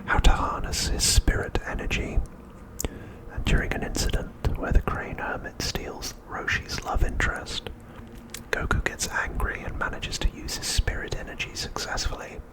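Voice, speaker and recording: A man's voice: moderate pace, rough recording. Whispering man, ASMR narration. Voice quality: breathy, unvoiced whisper. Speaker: ASMR host.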